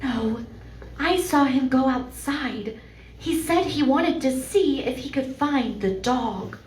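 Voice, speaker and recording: A woman playing a frightened, suspicious woman in danger, with dramatic, deliberate old-time radio acting. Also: high-pitched, expressive, a little mellow, a little hiss or hum. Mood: hushed, worried. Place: live stage performance in a venue. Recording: echoey live room.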